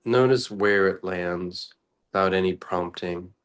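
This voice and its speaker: A man speaking as a meditation teacher, guiding reflectively and unscripted in short, contemplative phrases with long pauses. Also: low-pitched, clear, resonant tone.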